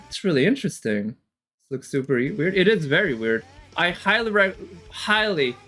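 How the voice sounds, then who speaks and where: A man's voice: fairly high pitch, very animated, moderate pace, bright, forceful tone, faint music behind. Hesitant, analytical man commentating. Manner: hesitant, thinking aloud, analytical. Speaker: reaction streamer. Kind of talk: casual off-the-cuff commentary.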